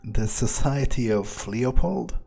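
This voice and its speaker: A man role-playing a cynical vampire underling in a video game playthrough, with a husky voice and a halting, muttering manner. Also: mid-range voice, expressive, crowd noise. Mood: wary, curious. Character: cynical, foul-mouthed, hesitant male vampire underling. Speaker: player role-playing his game character. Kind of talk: improvised in-character game playthrough.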